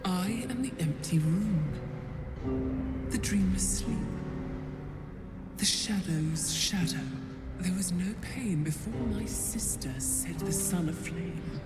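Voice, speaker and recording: An actress playing a cold, cruel and seductive dark goddess in a video game, speaking in solemn, commanding incantations. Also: female voice, lowish voice. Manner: solemn, imperious, deliberate incantatory delivery. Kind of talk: dramatic video game acting. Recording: long cavernous reverb under loud music.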